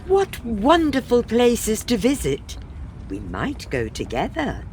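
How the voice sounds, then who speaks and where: A woman's voice: a little high, wildly swooping pitch, slow, darkish tone, a little hiss or hum, heavily acted. A woman acting a sensible, dryly exasperated middle-aged wife in a comic period farce. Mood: gently coaxing.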